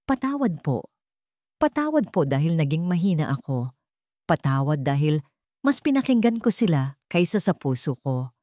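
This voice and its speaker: A woman's voice: sits low, sing-song, normal speed, warm tone, no room sound, studio quality. A woman voicing a repentant grown son in an audio drama, pleading humbly and respectfully in his letter. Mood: remorseful.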